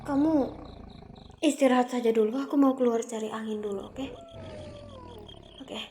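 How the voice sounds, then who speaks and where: Young female vampire, grieving and vengeful yet tender, soft hesitant voice, a bit high, a woman acting her out. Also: normal speed, slight background noise, close to an everyday voice. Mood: anxious, protective. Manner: soft and hesitant, stammers when flustered. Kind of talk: ASMR roleplay acting.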